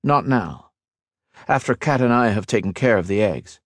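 A man's voice: fairly low pitch, animated, normal speed, no echo, studio quality, pitched above the performer's own voice, lightly acted. A man voicing a modest young hero. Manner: earnest, modest. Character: modest young male hero, earnest and steady.

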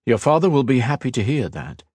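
A man voicing a kindly male healer in a fantasy audiobook, gentle but used to getting his own way. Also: medium pitch, sing-song, brisk, breathy edge, no room sound, clean studio sound, pitched above the performer's own voice. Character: kindly, balding male healer with firm authority.

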